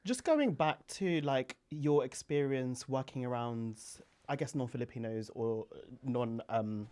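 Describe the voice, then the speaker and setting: A podcast host asking questions and sharing experiences in a relaxed, slightly hesitant way, with fillers like "um" and "I guess". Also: medium pitch, a little slow. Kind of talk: casual podcast interview.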